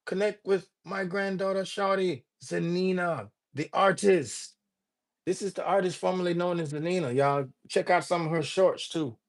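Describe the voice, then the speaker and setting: An older man hosting a casual livestream, rambling and joking as he greets viewers by name. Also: high voice. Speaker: livestream host. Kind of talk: casual livestream chat reading viewer comments.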